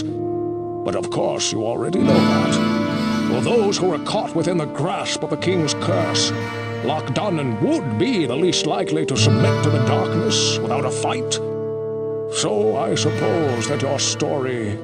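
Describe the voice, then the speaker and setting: An actor playing a grave, scholarly sage in a video game, telling ancient lore in a solemn, dramatic manner. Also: male voice, on the high side. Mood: thoughtful, sombre. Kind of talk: video game voice acting, storytelling. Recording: loud music behind.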